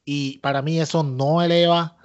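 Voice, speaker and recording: Podcast co-host: Puerto Rican man, slightly high-pitched, opinionated and emphatic, casual Spanglish, muffled low-fidelity sound. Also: fairly even intonation, moderate pace. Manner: emphatic, repeats himself, mixes English into Spanish. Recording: dull lo-fi audio.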